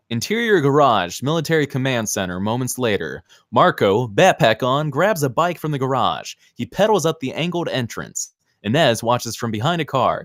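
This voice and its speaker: A man's voice: mid-range voice, dry recording, clean studio sound. A man reading scripted screenplay narration in a theatrical, clipped present-tense style. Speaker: stage-direction reader at a table read.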